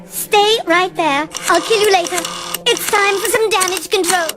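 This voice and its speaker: An actor voicing an imperious, coldly cruel cute-creature ruler in a cartoon video game, with drawn-out mocking delivery. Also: very high voice. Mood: menacing.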